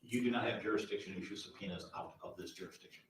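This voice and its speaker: Man lawyer, super fast formal legal talk, far from mic in echoey room. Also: fairly low pitch, steady tone, fairly bright tone, slight background noise. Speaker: opposing attorney at a hearing.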